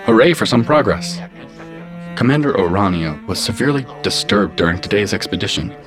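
A man voicing a calm, logical artificial intelligence with precise, formal phrasing in a science fiction audio drama. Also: fairly low pitch, brisk, natural voice. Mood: measured, analytical. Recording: music behind the voice.

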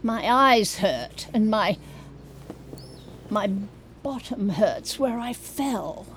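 A woman's voice: mid-range voice, animated, drawn-out words, fairly bright tone, a little hiss or hum. A woman playing a crotchety, bossy elderly woman who is hurt, scolding and groaning in an audio comedy drama. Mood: pained, weary.

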